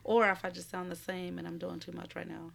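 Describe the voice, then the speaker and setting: A woman with a raspy voice from a cold, co-hosting a casual podcast from a room at home, rambling, laughing and swearing freely. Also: lowish voice, monotone, fairly quick, noisy background. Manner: profane, giggly, rambling. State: recovering from a cold. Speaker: podcast co-host. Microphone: studio microphone.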